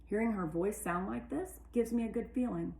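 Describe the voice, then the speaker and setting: A woman reading a children's novel aloud with animated, deliberate storytelling in a roomy recording. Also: mid-range voice, vocal fry. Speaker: read-aloud narrator. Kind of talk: expressive children's audiobook narration. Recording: roomy, echoey sound.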